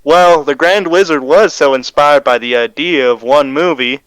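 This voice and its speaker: A man's voice: on the high side, normal speed, a bit bright, clear, resonant tone, no room sound, close to an everyday voice, low-quality recording. A man playing a folksy, matter-of-fact interview guest in a 1920s-style radio show. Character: folksy man recounting his undercover time in a hate group.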